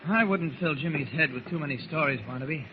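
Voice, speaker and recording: Man playing inquisitive radio serial hero, higher male voice, level-headed, polite yet blunt, muffled audio. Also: fast, natural voice. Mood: calm, considerate. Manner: polite but blunt, probing. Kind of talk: 1940s radio acting. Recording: muffled, noisy old radio recording.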